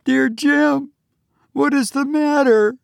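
A man's voice: sits high, lively intonation, unhurried, darkish tone, no echo, clean studio sound, voice pushed up. A man voicing a young woman in an audiobook, girlish and emotional, tender and easily startled. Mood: frightened. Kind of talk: audiobook character voice.

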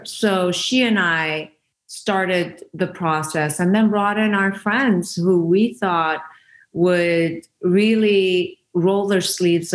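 A woman from Iran whose first language is Farsi, telling stories earnestly and reflectively as an interview guest, with frequent restarts. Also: fairly low pitch. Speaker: interview guest, founder of a women's giving circle. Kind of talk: conversational interview storytelling.

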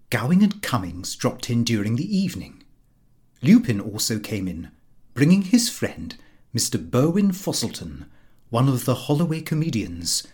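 A man narrating a comic diary in the character of a prim, put-upon, pompous middle-class gentleman. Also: medium pitch. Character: fussy, easily affronted middle-class Victorian gentleman diarist. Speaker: audiobook reader.

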